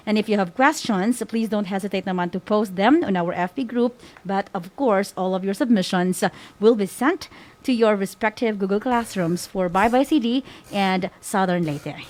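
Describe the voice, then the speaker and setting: Female co-host, Filipino accent, informal announcements for an online radio class. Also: medium pitch.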